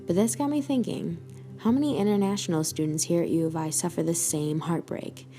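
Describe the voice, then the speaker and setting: A woman's voice: fairly low pitch, brisk, dark tone. A young American woman narrating a documentary in a scripted, wistful storytelling way. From United States. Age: young adult. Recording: narration over music bed. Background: music.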